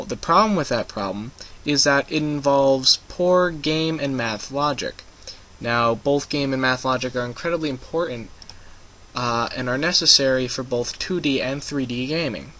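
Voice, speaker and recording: Bright-voiced young man rambling through a tutorial. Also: mid-range voice, a little hiss or hum, low-quality recording. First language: English. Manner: rambling, hesitant with frequent ums and uhs. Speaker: game tutorial maker. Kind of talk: casual screen-recorded tutorial.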